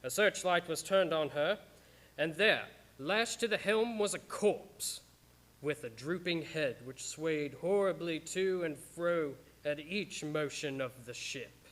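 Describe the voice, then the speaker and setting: A man playing the solemn narrator of a gothic horror radio play, with suspenseful, deliberate phrasing. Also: fairly high pitch, fairly bright tone. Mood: ominous, suspenseful.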